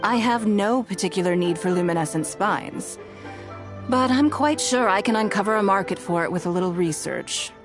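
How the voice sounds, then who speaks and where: A woman playing a composed, shrewd and stern wealthy noblewoman in a video game, speaking in a measured, formal, authoritative way. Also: mid-range voice, expressive, over music, lightly acted. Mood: businesslike.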